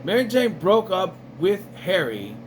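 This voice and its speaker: Guy rambling on about a cartoon, sarcastic, kinda high voice, air conditioner humming behind him. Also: sing-song, a little slow, slight background noise, low-quality recording. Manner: rambling, sarcastic, many fillers. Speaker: video reviewer. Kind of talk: casual commentary.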